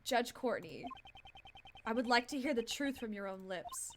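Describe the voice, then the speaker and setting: A woman voicing a composed male prosecutor in a video game, speaking in a formal, measured, probing way. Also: a little high, lively intonation, fairly bright tone, slight background noise. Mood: grave, deductive.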